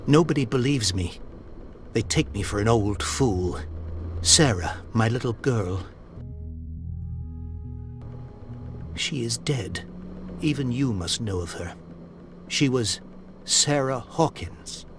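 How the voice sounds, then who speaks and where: A man's voice: mid-range voice, lively intonation, slow pace. Brief: wealthy elderly father, haughty yet desperate, formal diction, medium register, period video game, faint noise behind. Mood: grieving. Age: older. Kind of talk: video game voice acting, period mystery drama.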